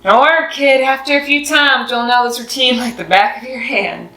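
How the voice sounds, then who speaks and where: A woman's voice: fairly high pitch, normal speed, bright, forceful tone. A woman voicing a kindly, fatherly older custodian in a children's storybook, with a folksy, reassuring manner. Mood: encouraging. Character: kindly older male school custodian, fatherly, twinkly mentor. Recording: roomy echo with music behind.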